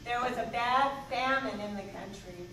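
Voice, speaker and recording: A woman's voice: on the high side, normal speed, a little hiss or hum. A woman giving scripted narration of a children's story. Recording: echoey, distant, loud music behind.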